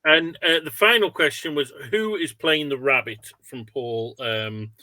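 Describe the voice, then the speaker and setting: A man hosting an online webinar in a casual, chatty way, with halting, rambling explanations. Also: on the high side, bright, forceful tone. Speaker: webinar host. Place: indoors on an online video call.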